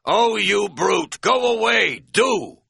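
High, prissy, affronted gentleman. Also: lively intonation, slow, creaky, breathy edge, no room sound, studio quality, heavily acted. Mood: mocking, theatrical outrage. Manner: pompous, prissy, theatrical. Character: mocking mimic of prissy, affronted gentleman shouting. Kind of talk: audiobook character dialogue.

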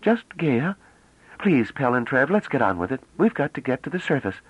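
A man reading a novel aloud, voicing a chatty, naive young woman who talks rapidly and almost breathlessly. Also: medium pitch, big pitch swings, slightly rough, dry recording, pitched above the performer's own voice. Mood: eager. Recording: dull lo-fi dry audio.

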